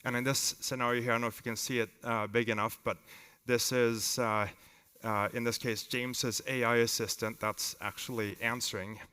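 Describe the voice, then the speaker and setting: A man with a Swedish accent giving an informal, halting conference presentation as a company founder. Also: medium pitch, flat delivery, sharp tone, smooth. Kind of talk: live conference talk with demos. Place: conference hall with long reverb. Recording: reverberant hall sound.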